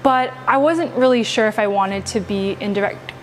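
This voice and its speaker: A woman's voice: fairly high pitch, moderate pace, a little hiss or hum. A young woman answering interview questions as a student in a university lab, upbeat and assured.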